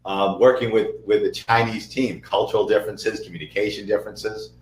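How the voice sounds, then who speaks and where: A man hosting a webinar interview, chatty, energetic and jokey. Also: on the low side, brisk, echoey room, rough recording. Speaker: webinar host. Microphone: video call.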